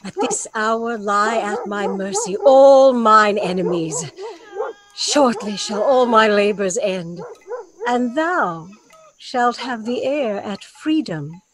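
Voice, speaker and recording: A woman's voice: a little high, animated, slow, a crowd in the background. A woman playing a commanding, vengeful, stately sorceress, declaiming in a Shakespearean radio play.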